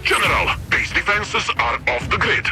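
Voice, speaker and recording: A man's voice: sits high, big pitch swings, moderate pace, over music. A man voicing a keen, jumpy infiltrator soldier in video game mission dialogue, speaking with urgency. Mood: urgent.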